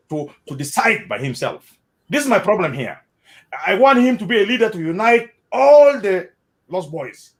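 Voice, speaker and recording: South sudanese guy, high voice that jumps around a lot, repeats himself, casual chat. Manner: animated, repeats words, mixes in Arabic phrases. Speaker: interviewee. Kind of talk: casual spoken commentary.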